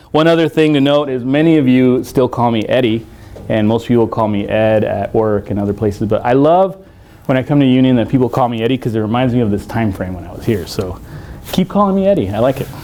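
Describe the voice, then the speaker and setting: A man from El Salvador, somewhere in his forties, speaks at a conference in a university hall at a middle pitch. He jokes at his own expense and pads his sentences with many fillers. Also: expressive, fairly quick, a little mellow, a little hiss or hum. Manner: self-deprecating, joking, with many fillers. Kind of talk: conference talk.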